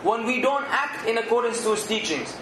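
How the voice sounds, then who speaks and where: High-voiced man preaching in an echoey room. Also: expressive, a little fast, a bit bright, slight background noise. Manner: earnest, exhorting, rising to emphatic outbursts. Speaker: Islamic preacher. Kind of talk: sermon.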